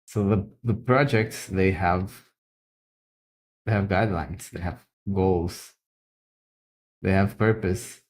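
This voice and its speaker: Slow, deep-voiced Brazilian man. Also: steady tone, poor audio. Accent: Brazilian Portuguese. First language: Brazilian Portuguese. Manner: halting, repeats words while thinking. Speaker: illustrator. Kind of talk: casual talk while drawing.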